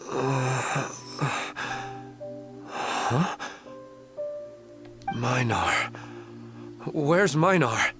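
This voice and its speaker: A man's voice: medium pitch, expressive, extremely slow, long pauses. A man voicing an earnest, wistful husband who longs for his wife, in an anime video game. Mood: dazed. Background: game music.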